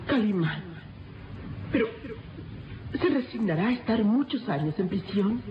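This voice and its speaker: A woman's voice: medium pitch, lively intonation, moderate pace, slight background noise, close to an everyday voice. An actress playing a worried, devoted lady companion in a radionovela, speaking in an imploring, dramatic way. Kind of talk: radionovela acting. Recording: dull echoey radio drama with music.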